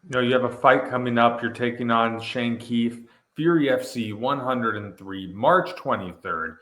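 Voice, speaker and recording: A man's voice: medium pitch, moderate pace, a bit bright, echoey room. A man interviewing a fighter, asking questions in an upbeat, praising way.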